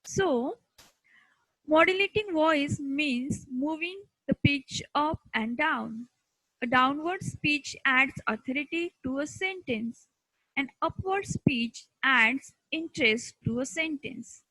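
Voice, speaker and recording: A woman with an Indian accent teaches in a high, bright voice. She moves slowly through her lecture in short, emphatic phrases, setting each point out deliberately. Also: dry recording, rough recording. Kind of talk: instructional lecture.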